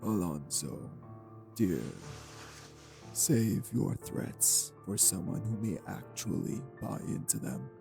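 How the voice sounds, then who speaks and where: Man playing a gravelly, slow-spoken menacing vampire. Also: expressive, over music, heavily acted. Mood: smooth threatening. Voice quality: gravelly, low. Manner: measured, condescending, formal. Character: menacing, urbane male vampire kidnapper, centuries-old. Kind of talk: audio roleplay drama acting.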